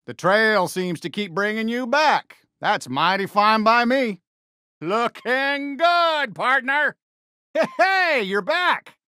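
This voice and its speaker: A man's voice: very high voice, lively intonation, slow pace, sharp tone, smooth, no echo, clean studio sound, heavily acted. A man voicing a friendly, upbeat toy cowboy sheriff for a video game, with an American accent and a folksy Western drawl. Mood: easygoing, friendly, then upbeat, welcoming. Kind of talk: animated video game character lines.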